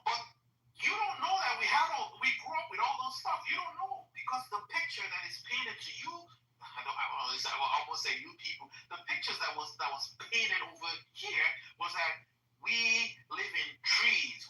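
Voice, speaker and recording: Insistent Nigerian woman, 40s, lively bright delivery, distant roomy mic. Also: medium pitch. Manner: emphatic, insistent, repeats herself while making a point. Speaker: discussion participant. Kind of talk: heated conversational debate.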